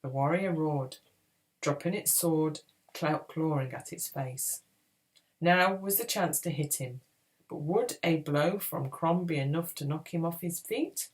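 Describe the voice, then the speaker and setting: A woman reading a children's adventure book aloud in a dramatic, expressive storytelling style. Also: lowish voice, poor audio. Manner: expressive, acts out dialogue. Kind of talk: dramatic read-aloud narration.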